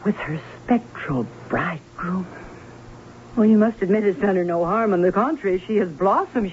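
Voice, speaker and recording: An actress playing a distraught Baron's sister in a radio drama, telling of a haunting in earnest, formal, dramatic tones. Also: female voice, medium pitch. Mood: hushed wonder. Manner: earnest, dramatic, formal old-fashioned storytelling. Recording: tinny narrow-band old radio with hiss and hum.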